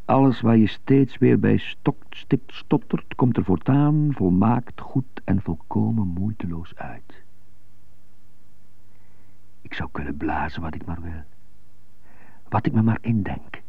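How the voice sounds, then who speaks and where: A nervous, stuttering trumpeter confiding his thoughts in a radio play. His low, gravelly voice moves slowly and haltingly, brooding and full of longing. The recording is narrow and phone-like. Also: no room sound, heavily acted. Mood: dreamy, yearning. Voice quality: husky, hushed, gravelly. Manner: halting, introspective inner monologue. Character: anxious stuttering male jazz trumpeter, brooding and yearning. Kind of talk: radio drama narration.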